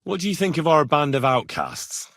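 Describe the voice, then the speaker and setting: A man acting a young, plain-spoken medieval retainer in a video game, earnest and curious, with an expressive, conversational delivery. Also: on the high side, moderate pace, no room sound, studio quality, full character voice. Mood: curious, probing. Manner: conversational, expressive, questioning, folksy turns of phrase.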